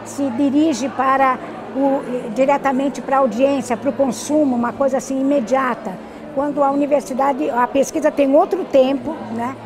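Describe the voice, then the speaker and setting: A Brazilian woman academic answering interview questions in an explanatory, halting way, often adding tag questions. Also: high voice, steady tone, low-quality recording. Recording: busy crowd chatter behind. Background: crowd noise.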